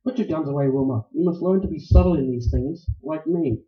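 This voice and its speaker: A man's voice: on the high side, fairly quick, lightly acted. Man acting suave scheming villain, echoey and muffled. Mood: coolly reproving. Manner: formal, unctuous, wordy. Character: suave, scheming, verbose male villain obsessed with treasure. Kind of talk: 1940s radio acting. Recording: dull lo-fi sound in echoey room.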